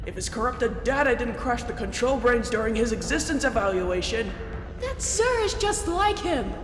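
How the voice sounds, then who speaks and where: A spiteful alien invader put on by a story narrator sneers in a voice pushed very high. The scornful complaints ring with long reverb over music. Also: very animated, moderate pace, natural voice.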